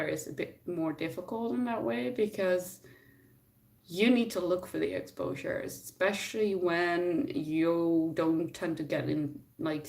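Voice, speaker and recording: A woman's voice: deep voice, darkish tone, far from the mic. A woman from the Netherlands, a native Dutch speaker, chatting casually and thinking aloud as she answers viewers on a live stream. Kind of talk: casual chat, reading and answering questions.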